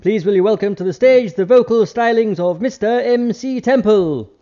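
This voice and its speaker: Man playing a high-voiced formal stage announcer. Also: moderate pace, warm tone, lightly acted. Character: polite, formal male stage master of ceremonies. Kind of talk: formal announcing in radio parody. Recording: muffled, noisy vintage radio.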